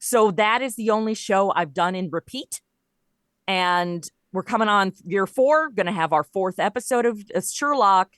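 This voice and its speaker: A woman chatting casually as a podcast guest, telling things in an animated, conversational way and voicing bits of dialogue as she goes. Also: medium pitch, normal speed, no room sound, studio quality. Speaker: podcast guest, audio drama creator and voice performer. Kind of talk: casual interview chat.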